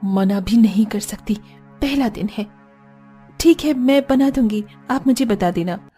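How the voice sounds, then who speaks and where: A woman's voice: on the high side, unhurried, warm tone, husky, faint music behind. A woman playing a dutiful, exasperated young daughter-in-law in a cartoon story, weary and complaining yet compliant. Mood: obliging. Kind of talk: Hindi cartoon story dialogue.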